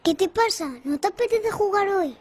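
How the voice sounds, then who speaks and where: Bubbly, very high-voiced Castilian little girl. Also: animated, moderate pace. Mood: concerned. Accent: Castilian Spanish. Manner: playful, bubbly, talking in eager questions. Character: chatty, imaginative, kind-hearted little schoolgirl. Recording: loud music behind the voice.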